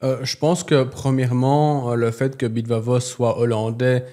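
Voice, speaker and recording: Mellow-voiced man speaking non-native French. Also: mid-range voice, level tone. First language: not French. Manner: halting, searching for words. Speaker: company representative. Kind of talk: business interview.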